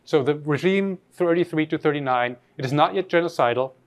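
A man's voice: on the high side, a little fast. A man from Austria, giving an academic lecture in a thoughtful way, often rephrasing mid-sentence and putting questions to his audience.

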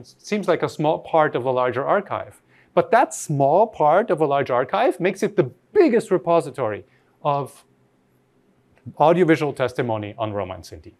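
A man from Austria with as his delivers an academic lecture in a mid-pitched voice with very lively intonation. He speaks thoughtfully and off the cuff, halting and rewording his sentences as he reasons. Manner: thoughtful, halting with frequent rephrasing.

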